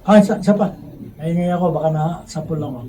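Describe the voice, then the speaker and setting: A man's voice: on the high side, quick pace, dark tone. A man with a Filipino accent in casual behind-the-scenes studio chat. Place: radio drama recording studio. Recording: distant mic, clear background noise.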